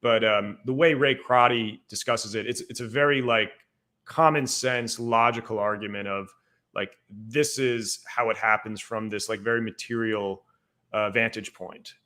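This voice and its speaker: A man's voice: lowish voice, fairly even intonation, unhurried, sharp tone. Irish man talking history, lots of fillers. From Ireland. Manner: rambling, many fillers like "like" and "um". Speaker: guest historian. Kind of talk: casual podcast discussion.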